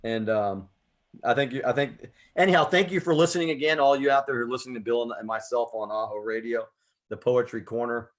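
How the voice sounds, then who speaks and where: An American man with a medium-pitched voice hosts a poetry radio show. He talks earnestly and reflectively, putting emphasis on his phrases as he moves between conversation and spoken-word delivery. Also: brisk.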